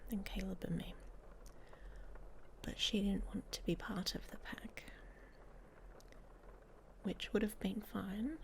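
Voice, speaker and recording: A woman's voice: fairly low pitch, slight background noise. A woman playing a sardonic, guarded werewolf lover in an intimate ASMR romance roleplay, whispering close to the microphone in a dry, hesitant way. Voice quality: breathy whisper with creaky edges.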